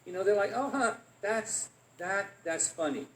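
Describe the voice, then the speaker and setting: An adult man as guest speaker, answering questions and telling relaxed anecdotes in a conversational Q&A. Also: high voice, normal speed. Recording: echoey room, faint noise.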